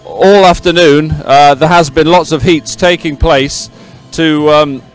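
Clear-voiced, chatty male event presenter. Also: a little high, steady tone, a little slow, faint music behind, low-quality recording. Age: middle-aged. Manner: chatty, rambling, hesitant with repeats. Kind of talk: live sports event presenting, ad-libbed. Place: outdoors by a regatta podium. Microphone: handheld microphone.

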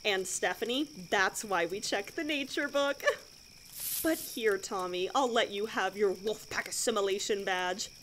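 A woman's voice: medium pitch, unhurried, a bit bright, a little hiss or hum, natural voice. A woman playing a cheery, prim, darkly unfazed scout troop leader in an audio drama, with an encouraging, condescending manner. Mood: scolding.

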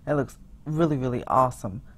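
A man giving a casual voice-over about construction photos, rambling with many restarts and fillers, sounding excited. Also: mid-range voice, fairly quick. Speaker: theme park vlogger.

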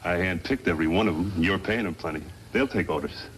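A man's voice: low voice, quick pace, natural voice. An actor playing a tough, crooked mine foreman in a 1950s radio western, blunt and confident. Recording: old radio recording, faint noise.

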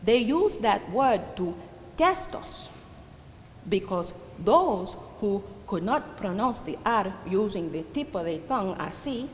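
A woman's voice: mid-range voice, big pitch swings, takes it slowly. A woman performing a theatrical monologue as an old, proud and sorrowful Dominican immigrant widow with a Dominican Spanish accent, confiding her story hesitantly with emphatic swells. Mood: sorrowful, grave. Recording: roomy reverberant hall with background noise.

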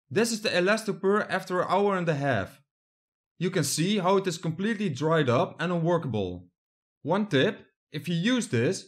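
A young man from the Netherlands, narrating his hobby build step by step in a plain voice-over. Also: a little high.

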